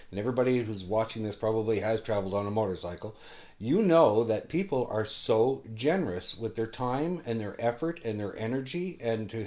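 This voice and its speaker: A man hosts a casual interview in a medium-pitched voice. He is enthusiastic but halting, doubling back and repeating words as he talks. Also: darkish tone. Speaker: interview show host.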